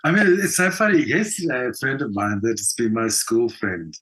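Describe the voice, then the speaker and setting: An older man with a South African accent, telling anecdotes reflectively as an interview guest and often voicing imagined dialogue in an expressive way. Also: mid-range voice, normal speed. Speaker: interview guest, actor and singer.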